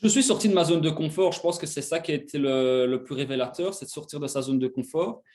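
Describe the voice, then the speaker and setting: A man's voice: fairly high pitch, fast talker, a little mellow. A man in his twenties from Belgium, casually telling his own story as an interview guest. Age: 20s.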